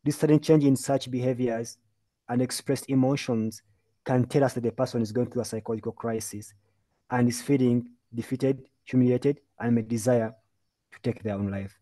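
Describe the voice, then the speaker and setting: A man's voice: medium pitch, fairly even intonation, moderate pace, dark tone. A man from Uganda giving an earnest, emphatic talk as a mental health speaker in a webinar presentation, heard over a video call. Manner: earnest, emphatic, lecturing with lists and repeated phrases.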